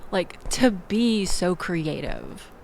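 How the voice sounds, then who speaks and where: A woman's voice: fairly low pitch, sing-song, drawn-out words, creaky, darkish tone, a little hiss or hum, full character voice, rough recording. A woman playing a sardonic young woman venting about a bad date in an intimate audio roleplay, wry, wordy and exasperated. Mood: playful, sarcastic. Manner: wry, exasperated, wordy, theatrical emphasis with sighs and groans.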